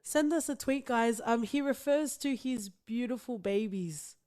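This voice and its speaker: A woman's voice: a little high, measured pace, mellow. Chatty woman podcast co-host. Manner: chatty, laughs easily, swears. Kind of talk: casual chat.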